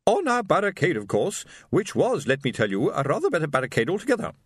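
A man voicing a haughty, demanding middle-aged wife in a storybook reading, in a raised, shrill voice. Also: huge pitch swings, fast, slightly rough, dry recording, clean studio sound, exaggerated voice.

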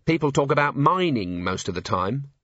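A man's voice: medium pitch, very animated, normal speed, fairly bright tone, no echo, lo-fi sound, voice pushed up. A man voicing a timid, earnest young female dwarf officer in an audiobook, eager and deferential. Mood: shy, curious.

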